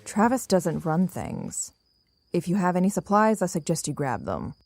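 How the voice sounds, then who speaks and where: An actress playing a calm, guarded young woman survivor in an audio drama, speaking in a cool, assertive way. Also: fairly low pitch, moderate pace, gravelly, no room sound, full character voice. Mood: businesslike.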